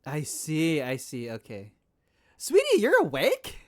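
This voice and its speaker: Woman, low pitch, lively intonation, casual livestream chat, playful, affectionate. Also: takes it slowly. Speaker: live streamer.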